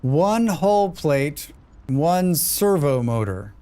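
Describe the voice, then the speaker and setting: A man's voice: fairly high pitch, sing-song, extremely slow, warm tone, clear, resonant tone. A man with a scratchy voice, muttering and thinking aloud as he streams a game. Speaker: streamer playing a demo. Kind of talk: live gameplay commentary.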